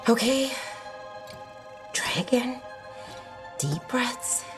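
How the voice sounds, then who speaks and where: An anxious, clumsy young game heroine, high and very animated. She speaks haltingly in flustered little bursts, with music clearly behind her. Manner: halting, exclaiming in short bursts. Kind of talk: video game dialogue acting.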